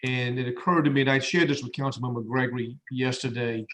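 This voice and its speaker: A man's voice: medium pitch, steady tone, fast, fairly bright tone. A male council member speaking conversationally in a meeting held by conference call. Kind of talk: recounting in a meeting. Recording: faintly noisy conference call with clicks.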